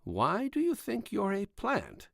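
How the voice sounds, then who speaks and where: A man voicing a gentle, puzzled military doctor who questions a patient in a measured way, in an audiobook. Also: high-pitched, huge pitch swings, creaky, no room sound, studio quality, pitched above the performer's own voice, full character voice. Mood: gently probing. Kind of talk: audiobook character dialogue.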